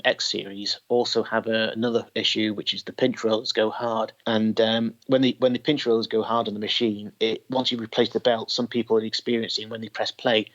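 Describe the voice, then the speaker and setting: A man chatting as an interview guest, explaining technical details at length. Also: fairly low pitch. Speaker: podcast guest, tape machine collector. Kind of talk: conversational interview. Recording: dull, lo-fi sound.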